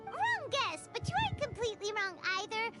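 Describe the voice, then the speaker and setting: A woman voicing a tiny, chatty, excitable fairy-like companion girl in a video game. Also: very high-pitched. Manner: excitable, exclaiming, quick reactions. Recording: game audio with loud music behind.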